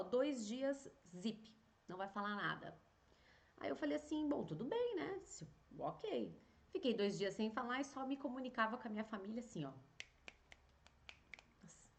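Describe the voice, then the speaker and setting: A woman, hosting a podcast and telling a personal story in a chatty, animated way, with many fillers and restarts. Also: mid-range voice. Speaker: singer and podcast host. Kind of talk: casual storytelling monologue.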